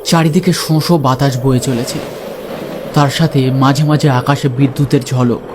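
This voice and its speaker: A man's voice: mid-range voice, steady tone, normal speed, background noise. A man narrating a scripted ghost story in a dramatic storytelling style.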